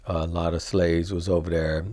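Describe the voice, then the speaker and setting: Low-voiced casual male talk show host. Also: little pitch movement, normal speed, darkish tone, dry recording. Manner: casual, rambling, asks "right?" often.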